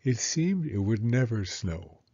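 A man narrating a children's read-aloud story in an expressive, deliberate manner. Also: mid-range voice, breathy edge, dull audio.

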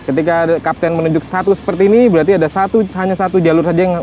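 Fast-talking man, higher-pitched, instructing. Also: fairly even intonation, dark tone, soft background music. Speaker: jet ski guide and instructor. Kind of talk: explanatory interview answers. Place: outdoors on a grassy seaside shore.

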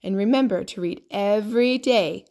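A woman hosting a children's read-aloud, addressing young listeners expressively with drawn-out emphasis. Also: medium pitch, a little mellow. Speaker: children's story time host.

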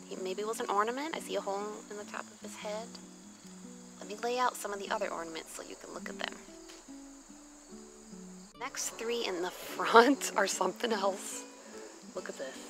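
A woman's voice: medium pitch, poor audio. Gushing, informal woman vlogger over music. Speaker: vlogger showing thrift finds. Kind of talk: casual show-and-tell chat. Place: outdoors on a patio or deck at a table.

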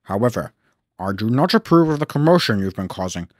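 A man voicing a stern, disapproving railway boss, measured and authoritative, in a children's storybook. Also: lowish voice, big pitch swings, dry recording, clean studio sound. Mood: stern, reproving.

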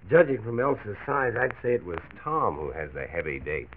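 A wry, easygoing American husband in a 1940s radio drama. His voice is deep, with lively rises and falls, over a thin old disc recording. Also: normal speed. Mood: wry, concerned. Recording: muffled, hissy 1940s radio transcription disc.

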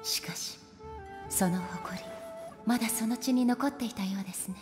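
A stern, regal ruler goddess from a Japanese anime game, played by a woman, slightly high for a woman. She speaks in formal, measured phrases with composed authority, over background music. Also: close to an everyday voice. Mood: stern, commanding.

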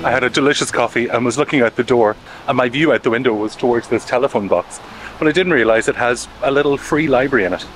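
A man's voice: medium pitch, quick pace, poor audio. A man, chatting wryly and cheerfully as a travel vlogger, filmed on a camera at arm's length outdoors in the rain and inside a campervan. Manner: chatty, wry and upbeat. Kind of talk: casual travel vlog narration. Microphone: handheld camera at arm's length. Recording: music playing underneath.

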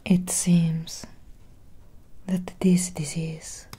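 A woman playing a centuries-old vampire doctor in a soft-spoken ASMR roleplay, speaking in a solemn, measured, breathy whisper. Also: lowish voice, flat delivery, exaggerated voice. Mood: solemn, reassuring. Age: young adult. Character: centuries-old female vampire doctor, grave, gently caring.

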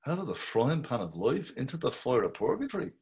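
A man's voice: medium pitch, sing-song, darkish tone. A middle-aged actor playing a wry, musing Dublin man, reading over a video call. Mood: brooding. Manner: musing inner monologue, slow and measured. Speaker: actor reading a male lead. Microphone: webcam on a video call.

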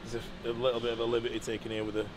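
A man's voice: mid-range voice, completely flat delivery, very fast, background noise. A young man vlogging casually in a supermarket, cheeky and jokey, with a bit of swearing. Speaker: bodybuilder vlogger. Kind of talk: casual vlog walkthrough.

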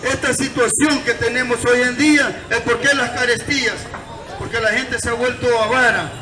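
High-pitched Salvadoran man preaching from afar. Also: fairly even intonation, faint music behind. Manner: declamatory, in short deliberate phrases. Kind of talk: open-air sermon, explaining scripture. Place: outdoor gazebo in a public town square. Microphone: far camera. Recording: distant, echoey, noisy outdoor recording with crowd. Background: traffic and crowd noise.

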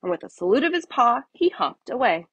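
A woman narrates a children's story with lively, swinging intonation. Her voice is slightly breathy and husky, recorded dry and close. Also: on the high side, a little fast, no room sound. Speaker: storybook reader. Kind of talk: expressive children's read-aloud narration.

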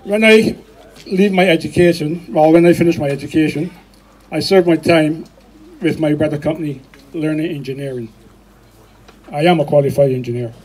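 Older man, Barbadian accent, husky warm voice, outdoor rally speech, handheld mic through a PA speaker. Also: fairly high pitch, poor audio. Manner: addressing the crowd, with pauses and restarts. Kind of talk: speech to a crowd. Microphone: handheld microphone through a PA.

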